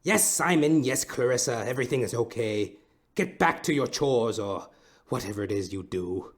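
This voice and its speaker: A man's voice: medium pitch, expressive, moderate pace, lightly acted. A man playing a weary, scornful, foul-mouthed wealthy wife in a noir audio comedy. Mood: irritated.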